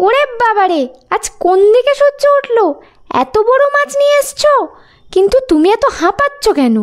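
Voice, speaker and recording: A woman voicing an excitable, nagging fox wife in a cartoon fairy tale, exclaiming and questioning. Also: extremely high pitch, normal speed. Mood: astonished.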